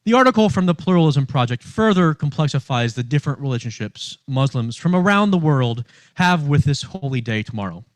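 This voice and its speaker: A man speaks as a minister delivering a prepared sermon. His voice sits a little high for a male speaker, and the sound is dry, with very little room. He moves phrase by phrase, leaning on important words. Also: animated, fairly quick, no echo. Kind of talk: sermon read from notes, with long quotations.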